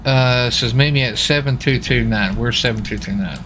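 A man's voice: medium pitch, normal speed. A man chatting casually in a roleplay game as a US Marshal, rambling and repeating himself. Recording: steady game vehicle noise behind voice. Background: game car and city sounds.

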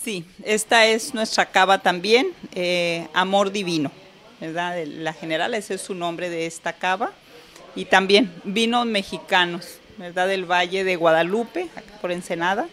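A woman's voice: on the low side, unhurried, a bit bright. A middle-aged woman restaurant owner giving a proud tour, explaining dishes into a handheld mic. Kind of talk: interview and guided tour. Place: restaurant, partly outdoors. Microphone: handheld microphone.

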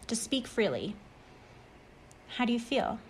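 A woman's voice: on the high side, big pitch swings, a little slow, slightly rough, heavily acted, low-quality recording. A woman playing a reassuring, encouraging, talkative friend in an acted short-story reading. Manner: encouraging, explanatory. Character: reassuring, talkative friend who overcame extreme anxiety.

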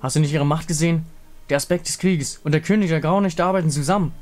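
Grim female moon deity, read by a man, solemn and fatalistic, slightly raised pitch. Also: fast, a little mellow, faint music behind, natural voice. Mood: bleak despair.

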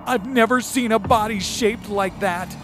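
A woman's voice: medium pitch, a little slow, natural voice. A woman playing an anxiously hopeful spouse in a comedic film trailer parody. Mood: alarmed. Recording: loud music behind.

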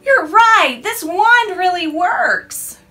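A woman's voice: lively intonation, extremely slow, bright, forceful tone, far from the mic, exaggerated voice. A woman putting on a squealing little fairy sister. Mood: amazed. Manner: high squealing sing-song. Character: bossy girly big sister fairy girl.

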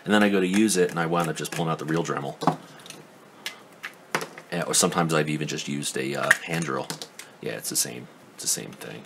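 A man's voice: very deep voice, brisk, creaky, long pauses, heavy background noise. A man giving a casual hands-on tool review at his workbench, rambling and skeptical, full of ums and restarts. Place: indoor workbench.

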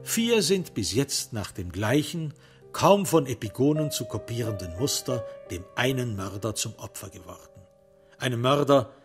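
A man narrating a literary crime radio play in a measured, dramatic way, with pointed pauses. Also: medium pitch.

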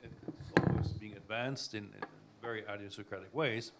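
A man's voice: on the low side, steady tone, brisk, heavy background noise. A man in a lecture hall asking the speaker a long, probing academic question. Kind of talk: academic discussion.

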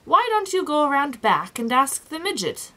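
A woman's voice: sits high, big pitch swings, moderate pace, bright, forceful tone, pitched above the performer's own voice, full character voice. A woman voicing a proud, cheerful, fussy ordinary-sized man in a storybook, with an emphatic, self-important manner. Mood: proud, polite.

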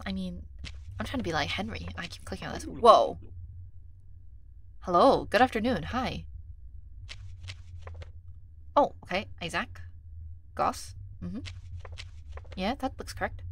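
A woman's voice: mid-range voice, animated, normal speed, long pauses, a little hiss or hum. Chatty woman streamer muttering through a game. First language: Korean. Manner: chatty, thinks aloud, reads numbers under her breath. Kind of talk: casual gameplay commentary.

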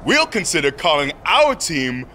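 An actor playing an upbeat, friendly big wrestler teammate in a video game. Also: male voice, on the high side, very animated, a little slow, pushed and bright, a little hiss or hum, full character voice. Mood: friendly, encouraging. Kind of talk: scripted video game cutscene acting.

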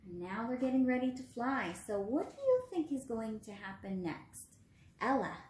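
Young woman, encouraging teacher, expressive sing-song range, talking to small children, echoey room. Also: fairly high pitch, normal speed. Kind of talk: read-aloud and questioning for young children. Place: small room at home, indoors. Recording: roomy home recording.

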